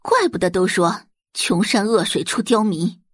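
A woman's voice: mid-range voice, unhurried, husky, no room sound, clean studio sound. A woman voicing a rich, middle-aged mother in an audio drama, complaining harshly and with scorn. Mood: angry, scornful.

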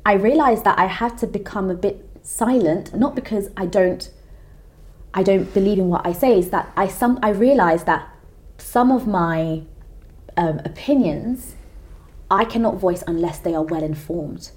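Animated young woman chatting casually. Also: mid-range voice, a little slow. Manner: animated, expressive, breaks into laughs. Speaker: podcast co-host. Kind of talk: casual chat.

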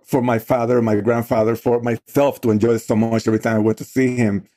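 A man's voice: mid-range voice, little pitch movement, fast, dark tone. A man in his forties from the Dominican Republic, speaking with a Dominican Spanish accent, telling his story as a podcast guest with frequent restarts and "you know"s. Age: 40s. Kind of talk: casual storytelling.

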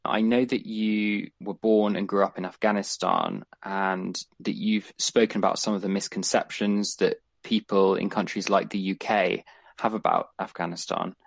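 Deep, gravelly man hosting a podcast. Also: normal speed. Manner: scripted, even-paced introduction. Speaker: podcast host.

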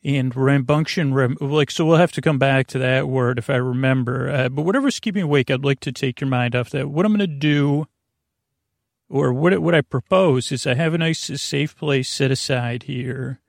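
A man hosting a bedtime podcast in a creaky voice, meandering and halting through soothing, rambling tangents. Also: medium pitch, no room sound, clean studio sound. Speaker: podcast host.

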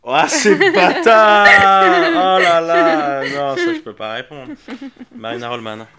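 A man, a comedian guest on a podcast, talking in a playful, animated way, laughing often and acting out little scenes. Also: on the high side, slow pace, a loud crowd. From Geneva, Switzerland. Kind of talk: casual podcast chat.

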